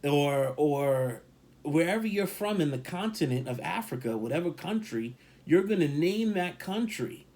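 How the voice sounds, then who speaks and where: A man's voice: on the high side, expressive, unhurried, darkish tone. A man talking casually as a podcast co-host, emphatic and rambling, repeating points for stress. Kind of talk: casual opinion talk.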